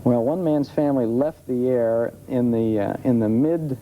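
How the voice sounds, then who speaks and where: A man chatting informally as a studio guest expert, answering questions in a halting, explanatory way. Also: mid-range voice. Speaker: talk show guest, old-time radio expert. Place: television studio. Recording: dull noisy TV studio tape.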